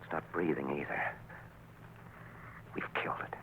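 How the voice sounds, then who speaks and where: An actor playing an anxious but brave scientist in a 1940s radio drama, with an urgent, dramatic delivery. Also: male voice, normal speed, pushed and bright, natural voice. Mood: grim. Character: anxious brave man, a scientist battling a lab monster. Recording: noisy vintage 1940s radio recording.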